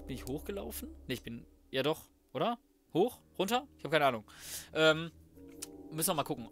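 A man's voice: fairly high pitch, a bit bright. A man giving casual gaming commentary, thinking aloud in a colloquial, cheeky way. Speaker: let's player.

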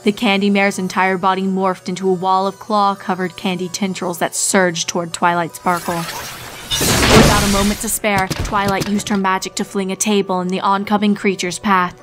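A woman's voice: medium pitch, level tone, normal speed, a bit bright. A woman reading a horror story as a scripted narrator. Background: music.

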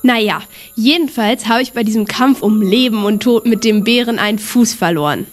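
Boastful young woman survivor actress, fairly high voice, amateur radio play with music. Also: moderate pace, natural voice. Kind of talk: amateur audio drama acting.

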